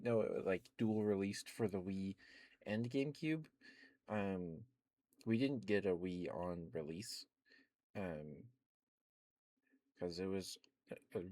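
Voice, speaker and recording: A young man with a deep, low voice rambles gently in ASMR fashion, close to the microphone. The sound is dry and intimate, with no room around it. He drifts from thought to thought, padding his speech with soft fillers and little laughs. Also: dry recording. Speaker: ASMR streamer.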